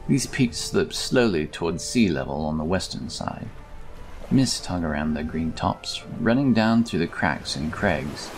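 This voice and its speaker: Male fantasy narrator: very low, creaky voice, expressive storytelling over music. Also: rough recording.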